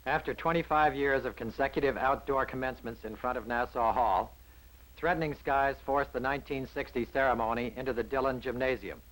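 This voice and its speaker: A man's voice: medium pitch, a little fast, fairly bright tone, poor audio. An American man reading scripted newsreel narration from around 1960 in a polished, wry manner.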